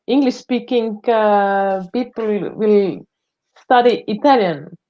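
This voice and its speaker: A woman joining a livestream call, speaking slowly and hesitantly with pauses mid-sentence. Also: mid-range voice. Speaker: livestream guest. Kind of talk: casual comment on a live call. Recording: muffled lo-fi call audio.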